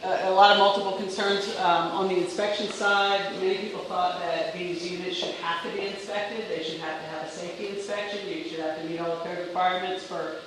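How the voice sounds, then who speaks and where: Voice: medium pitch, fairly even intonation, brisk, hardly any pauses. A county staff facilitator summarizing public feedback at a community meeting, talking loosely with many ums and restarts. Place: large indoor meeting room. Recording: echoey hall, distant mic, faint noise.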